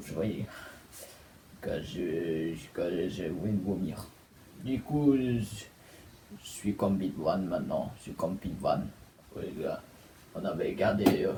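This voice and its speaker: A man with a cold vlogging about his home setup, talking haltingly with many fillers and restarts. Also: low-pitched. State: congested with a cold. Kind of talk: casual home video tour. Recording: echoey room, far from mic, steady noise.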